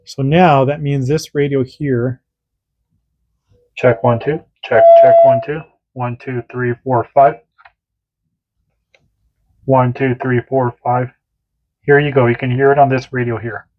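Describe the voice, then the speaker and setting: A man's voice: medium pitch, fairly even intonation, takes it slowly, pauses often, dull audio, noisy background. A man hosting a casual radio hobby tutorial, chatty and repetitive, doing radio checks as he demonstrates. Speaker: radio hobby channel host.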